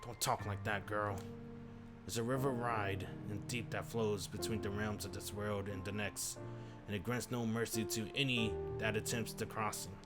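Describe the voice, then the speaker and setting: A man voicing a fierce, foul-mouthed tiny old grandmother with a rough rural drawl, shrieking in anger and hushed in tenderness. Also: on the low side, steady tone, normal speed, over loud music, lightly acted. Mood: tender stern.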